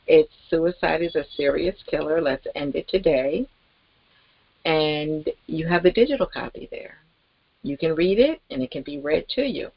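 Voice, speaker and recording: Gravelly woman in a halting promotional monologue. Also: deep voice, expressive, dry recording, highs cut off. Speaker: network marketing promoter and host. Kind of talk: rambling, informal promotional monologue.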